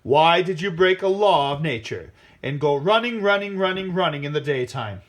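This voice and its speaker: A man acts out a commanding lion king from an animal folk tale. He addresses his council in drawn-out, emphatic proclamations, with a highly animated melody. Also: natural voice. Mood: grave, proclaiming.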